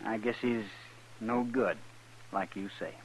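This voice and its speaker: An actor playing a troubled, defensive young drifter in a 1950s radio western, halting and plain-spoken with a rural American drawl. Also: male voice, lowish voice, slight background noise, lightly acted, low-quality recording. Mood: sombre, yielding.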